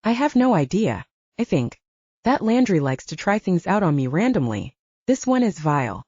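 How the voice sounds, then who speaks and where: A woman voicing a composed middle-aged father and leader, measured and authoritative, in an audiobook. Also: fairly low pitch, big pitch swings, a little mellow, husky, no echo, lo-fi sound. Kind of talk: audiobook character dialogue.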